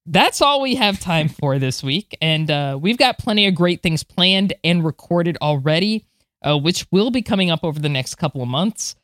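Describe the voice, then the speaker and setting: A woman's voice: on the low side, animated, moderate pace, a bit bright, dry recording, studio quality. A woman co-hosting a podcast, chatting casually and reacting with enthusiastic exclamations. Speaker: podcast co-host.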